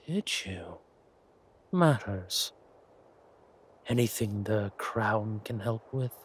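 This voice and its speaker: Guy doing an evil king for audio rp, low husky voice, silky n flirty but dangerous, fake polite. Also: lively intonation, exaggerated voice. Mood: mock polite, threatening. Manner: slow, deliberate, mock-polite delivery. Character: menacing villainous king, silky, amorous, quietly furious. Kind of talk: romantic villain audio roleplay.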